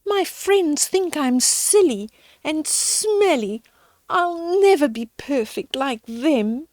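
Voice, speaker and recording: A woman's voice: sits high, animated, slow, darkish tone, dry recording, pitched above the performer's own voice. A woman voicing a lonely misfit penguin in a children's storybook, speaking plaintively. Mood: sad, lonely. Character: lonely, playful misfit young penguin, feeling sorry for himself.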